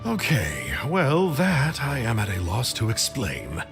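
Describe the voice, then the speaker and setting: A man's voice: medium pitch, huge pitch swings, takes it slowly, creaky, exaggerated voice. A man voicing a chirpy, chatty villainous mage in a video game, mock-polite, theatrical and rambling. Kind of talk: video game character acting. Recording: game music underneath.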